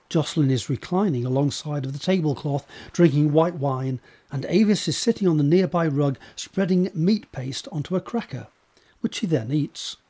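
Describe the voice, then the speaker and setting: A man reading scripted scene descriptions as a narrator, in a measured, descriptive way. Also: fairly high pitch, dark tone, breathy edge. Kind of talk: scripted narration of stage directions.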